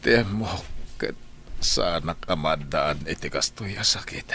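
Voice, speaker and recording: An actor with an Ilocano accent playing a grave, solemn doctor in a radio drama. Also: male voice, extremely low pitch, measured pace. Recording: faint noisy ambience.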